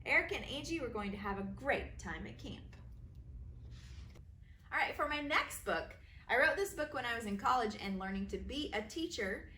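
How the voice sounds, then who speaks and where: A woman teacher reading children's stories aloud in an expressive, sing-song way for young listeners. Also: fairly high pitch, moderate pace. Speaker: kindergarten teacher reading her own stories. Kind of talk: animated children's storybook reading. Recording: roomy echo, faint background noise.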